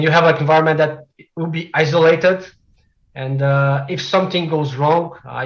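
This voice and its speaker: A man from Brazil whose first language is Portuguese, giving a technical presentation as a developer, with frequent "uh" fillers and measured delivery. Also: a little high, muffled recording. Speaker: conference speaker, software developer.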